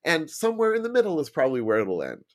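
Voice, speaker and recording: A man's voice: a little high, wildly swooping pitch, fast talker. An American man talking casually and emphatically as an interview guest, repeating words for stress. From United States. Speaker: podcast guest, programming trainer.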